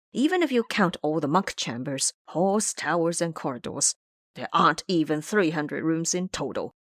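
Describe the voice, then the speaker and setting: A woman's voice: low-pitched, no room sound, clean studio sound, heavily acted. A woman voicing a fearful, grumbling old male abbot in an audio drama, bossy and querulous with his underlings. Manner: querulous, scolding.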